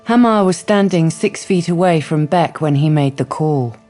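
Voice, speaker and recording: A woman's voice: sits low, moderate pace, warm tone, faint music behind. A woman narrating a radio drama from a script.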